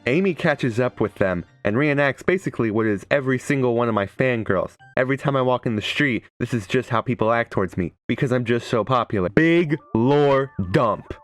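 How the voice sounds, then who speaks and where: A man with a medium-pitched voice makes casual gaming commentary. He is sarcastic, jokey and freely profane. Music plays clearly behind him. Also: fast, few pauses. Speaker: gaming video creator. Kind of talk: casual comedic game commentary. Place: indoors, streaming setup.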